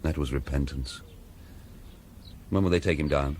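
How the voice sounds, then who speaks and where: Deep-voiced, eloquent priest. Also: male voice, moderate pace, darkish tone, slight background noise. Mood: grave, sorrowful. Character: proud, grave, compassionate male priest. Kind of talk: radio drama acting.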